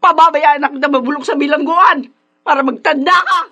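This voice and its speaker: A woman's voice: high voice, brisk, sharp tone. An actress playing an exasperated, scolding Filipino mother in a radio drama, pleading and reproachful with great emphasis. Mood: firm, fed up.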